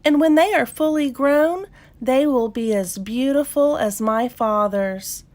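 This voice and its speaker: Woman doing a young storybook antelope, high-pitched, proud, upbeat, childlike, boastful. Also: measured pace, a little mellow.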